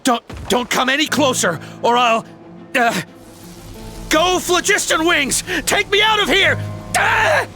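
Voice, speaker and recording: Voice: high-pitched, animated, slow pace, bright, forceful tone, soft background music, heavily acted. An actor voicing a cowardly hired poacher in a video game, nervous and stammering. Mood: panicked. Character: cowardly, greedy hired poacher, blustering then panicky.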